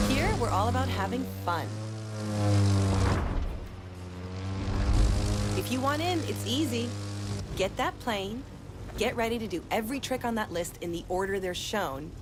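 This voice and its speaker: A woman's voice: mid-range voice, natural voice. Woman acting as a cocky, playful stunt pilot. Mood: playful challenge. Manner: breezy, boastful, teasing. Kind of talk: scripted video game cutscene acting. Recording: music behind the voice. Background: music.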